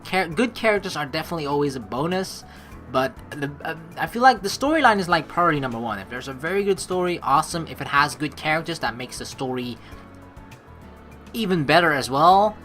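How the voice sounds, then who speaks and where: A woman giving casual, excitable gameplay commentary in an informal way, swearing freely. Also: deep voice, fairly quick, soft background music. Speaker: let's play streamer. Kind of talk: casual gameplay commentary, reading game text aloud.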